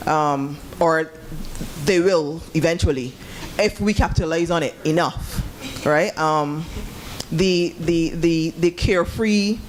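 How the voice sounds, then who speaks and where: Participant from Barbados, halting comment, faint room noise behind. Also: medium pitch. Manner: hesitant with many fillers. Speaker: seminar participant. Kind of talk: comment from the audience. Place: seminar room.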